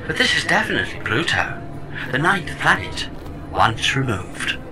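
A man's voice: mid-range voice, sing-song, moderate pace, background noise, lightly acted. A man playing a chatty, smug robot pod sidekick in a comedy audio drama, explaining things with wry, wordy theatrical flourishes.

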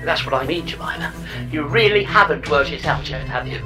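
An actor playing a smug, sardonic artificial pod companion with a deadpan, wry manner in a comic sci-fi audio drama. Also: medium pitch, brisk, close to an everyday voice. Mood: dry, frank. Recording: music under the voice.

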